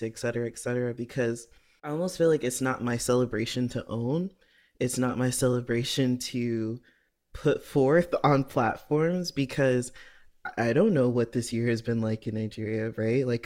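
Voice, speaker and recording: This is a man at a typical male pitch, co-hosting a podcast. He talks through his ideas earnestly and loosely, with frequent "like" fillers and self-directed questions. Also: a little mellow. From United States. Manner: earnest, rambling, heavy "like" fillers, asks himself questions. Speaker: podcast co-host. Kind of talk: casual reflective chat.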